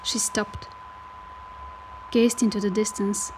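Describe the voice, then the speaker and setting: A woman reading scripted literary narration for an audio drama, with measured, deliberate phrasing. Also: mid-range voice, flat delivery, noisy background.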